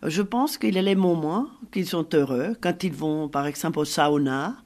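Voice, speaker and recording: A woman's voice: sits low, normal speed, a little mellow. A Finnish woman speaking a second language with a Finnish accent, explaining things in an interview with frequent hesitant fillers. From Finland. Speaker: guest, film festival organizer. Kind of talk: conversational interview.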